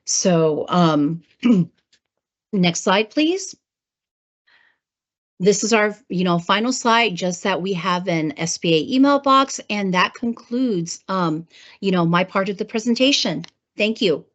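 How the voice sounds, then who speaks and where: A woman with a hoarse voice from a cold, presenting a government training webinar, clearing her throat and using many fillers. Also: mid-range voice, expressive, slow. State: hoarse, getting over a cold. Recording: muffled lo-fi webinar audio.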